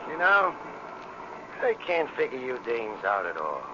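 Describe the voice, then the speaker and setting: A man's voice: mid-range voice, huge pitch swings, measured pace. An actor playing a cocky, flirtatious tough guy with slangy, smug swagger in a 1940s radio drama. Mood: boastful. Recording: muffled, noisy old radio recording.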